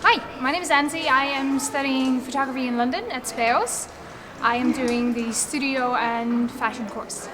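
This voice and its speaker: A woman's voice: high voice, fairly even intonation, normal speed, loud music behind the voice. A woman from Iceland, plainly explaining her photography to visitors. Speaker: photography student. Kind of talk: presenting her portfolio at an exhibition. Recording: loud crowd around. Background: loud crowd chatter.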